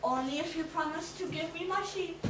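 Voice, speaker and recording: A woman's voice: very high-pitched, normal speed, a bit bright, pitched above the performer's own voice. A woman voicing a bold little girl who talks eagerly and earnestly. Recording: echoey room, distant mic, noisy.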